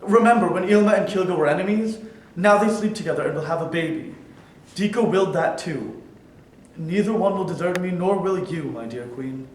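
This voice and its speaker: A man's voice: sits high, a little fast, close to an everyday voice. A man playing a proud, domineering cave king in a staged reading, speaking in a commanding, grandiose way. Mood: assured. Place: theater in New York. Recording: echoey hall from far off.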